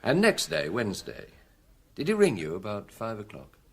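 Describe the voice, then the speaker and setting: Casting: wry, probing middle-aged detective, British accent, husky, very animated delivery, radio drama. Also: male voice, on the low side, exaggerated voice. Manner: measured, probing, quietly wry. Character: shrewd, avuncular French police detective, middle-aged man.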